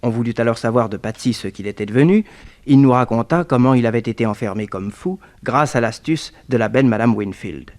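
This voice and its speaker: An actor playing an earnest detective's assistant who narrates a French radio serial in a theatrical way. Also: male voice, medium pitch, a little fast, a little mellow, natural voice. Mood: composed storytelling. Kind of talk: dramatic first-person radio narration, 1960s. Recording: slightly noisy old radio recording.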